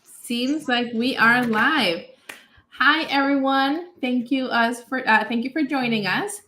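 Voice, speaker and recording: A woman from Honduras hosting a live webinar, speaking in a friendly, slightly halting way. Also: high voice, sharp tone, echoey room. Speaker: host.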